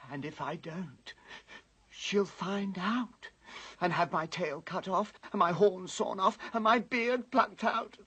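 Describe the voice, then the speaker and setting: An actor playing a timid, guilt-ridden but kindly faun in an animated fantasy, anxious and often hushed. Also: mid-range voice, takes it slowly, breathy edge, exaggerated voice. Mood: anguished confession. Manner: hushed, anxious, expressive, trailing into whispers. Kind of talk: animated fantasy film acting.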